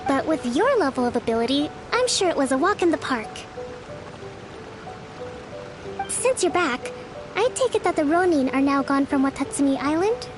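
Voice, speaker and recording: A woman's voice: high-pitched, very animated, fairly quick. A woman playing a courteous, thoughtful young military leader in an anime video game, with polite, formal phrasing. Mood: gently approving. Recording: voice over game music.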